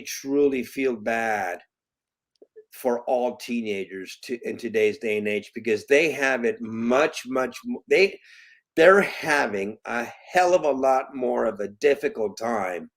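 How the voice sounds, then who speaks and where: A man in his late fifties, a guest in an interview, talking earnestly in halting bursts with many restarts. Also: mid-range voice. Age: 50s. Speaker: guest, parent. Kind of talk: conversational interview.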